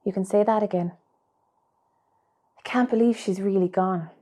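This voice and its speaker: An actress playing a weary, patient grown-up Irish daughter in a radio play, with a polite, gentle manner. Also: female voice, medium pitch, steady tone, moderate pace, close to an everyday voice. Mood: caring, weary.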